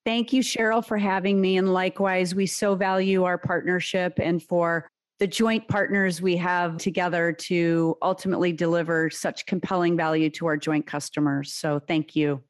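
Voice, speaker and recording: A woman executive guest in a business interview, speaking in a measured, reflective way. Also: on the low side, flat delivery. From United States.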